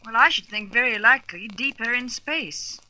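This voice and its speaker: An actress playing a sensible, inquisitive space explorer in an old-fashioned radio adventure, well-spoken and questioning. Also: female voice, a little high, normal speed, pushed and bright, slight background noise, low-quality recording. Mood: curious.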